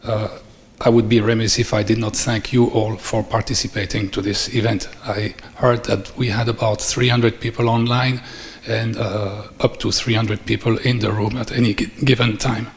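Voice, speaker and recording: A middle-aged man from Belgium with a Belgian accent, giving a formal closing speech at a conference in measured, orderly phrases. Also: on the low side, level tone, slight background noise. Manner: measured, formal delivery with listed points. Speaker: conference speaker. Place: large conference hall. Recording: long reverb of a conference hall.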